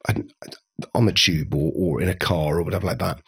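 A man's voice: low-pitched, steady tone, brisk, creaky, slightly rough, no room sound. A British man co-hosting a podcast in casual chat, with a theatrical, camp turn of phrase. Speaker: podcast co-host.

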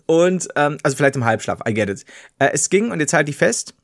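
A man's voice: fairly high pitch, brisk, creaky. A man, co-hosting a casual podcast and telling stories, acting out quotes in changing voices. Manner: animated storyteller, acts out quotes in changing voices. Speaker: podcast co-host. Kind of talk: casual chat.

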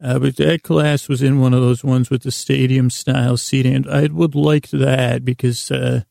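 A middle-aged American man hosting a bedtime podcast, rambling in a halting, digressive, self-deprecating way with a lulling, soothing tone. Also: mid-range voice, flat delivery, creaky, warm tone, dry recording, studio quality.

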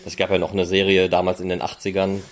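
A man with a fairly low voice interviews a guest on a podcast. He speaks very rapidly, in a casual, chatty style. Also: completely flat delivery, crowd noise.

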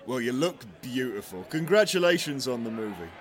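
An actor playing a gushing, flattering male entertainment journalist interviewing on a red carpet. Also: medium pitch, a little fast, natural voice. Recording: outdoor red carpet, faint crowd behind.

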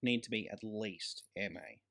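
A man's voice: on the low side, normal speed, gravelly, bright, forceful tone. An Australian man co-hosting a podcast, discussing films casually and enthusiastically with emphatic, sweeping claims. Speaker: podcast co-host.

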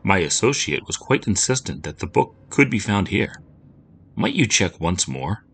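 A man's voice: low voice, big pitch swings, normal speed, a bit bright, breathy edge, heavily acted. A man voicing a sinister, formal stranger who talks in a cold, demanding way.

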